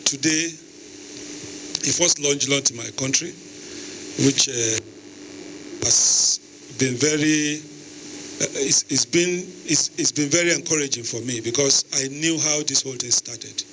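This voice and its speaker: Mid-pitched Nigerian man, halting delivery. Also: fairly even intonation, fairly bright tone, a little hiss or hum, poor audio. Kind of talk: unscripted public remarks.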